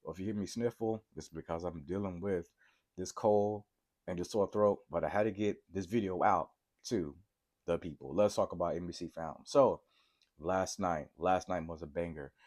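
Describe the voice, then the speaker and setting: Low-voiced man recapping TV, sore throat. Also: moderate pace. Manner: excitable, keeps asking "right?" to viewers. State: congested from a cold, sore throat. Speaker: TV episode reviewer. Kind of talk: casual TV recap vlog.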